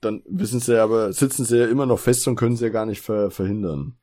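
A man with a fairly low voice co-hosts a podcast. He talks casually and conversationally, sounding keen and appreciative as he gives his opinions. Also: quick pace, warm tone. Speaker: podcast co-host. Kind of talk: casual podcast review chat about audio drama.

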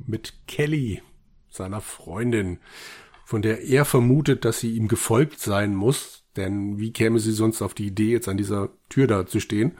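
A man with a slightly rough, husky voice talks as a podcast co-host. He sits at a medium pitch and retells an audio drama story in casual chat, slipping into quoted lines along the way. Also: dark tone.

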